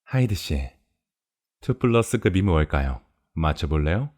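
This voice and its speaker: A man's voice: low voice, big pitch swings, a little slow, a little mellow. A man voicing a polite, sadistic cannibal farmer in a horror audio drama, explaining things in a courteous, leisurely, gloating way. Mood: calmly menacing. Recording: music behind the voice.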